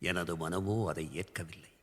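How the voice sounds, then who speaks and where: A man's voice: low-pitched, flat delivery, a little slow. An actor playing a proud, guilt-ridden king in a historical radio drama, declaiming formally. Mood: confessional. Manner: declamatory, formal classical diction. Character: proud, guilt-ridden Tamil king confessing to his queen. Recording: long hall-like reverb.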